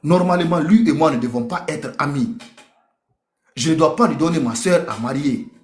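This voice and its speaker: A man's voice: fairly high pitch, moderate pace. A man from Africa speaking with emphasis in a group debate, giving impassioned personal testimony and repeating phrases for weight. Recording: echoey room.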